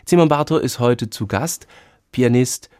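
A man hosting a radio culture program, introducing music and asking his guest measured questions. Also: medium pitch, a little mellow, clean tone, no room sound, studio quality. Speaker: radio host.

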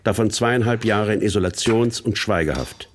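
An actor playing a stern prison authority in a theatrical radio play, intoning in a measured, declamatory way. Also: male voice, lowish voice, slight background noise, natural voice. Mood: stern, official. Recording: echoey hall with long reverb.

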